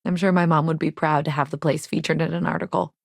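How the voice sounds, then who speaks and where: Game role: earnest, plain-spoken woman innkeeper in a rough sci-fi underground. Lowish voice, creaky edges, dry studio sound. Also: fairly even intonation, quick pace, no room sound. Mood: calm, earnest. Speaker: video game character voice. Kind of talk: game dialogue lines, conversational.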